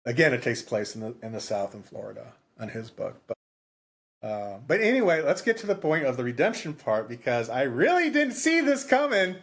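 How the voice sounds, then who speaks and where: A man's voice: mid-range voice, sing-song, fairly quick. A man co-hosting a podcast in a casual book discussion, rambling and often repeating himself. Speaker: podcast co-host.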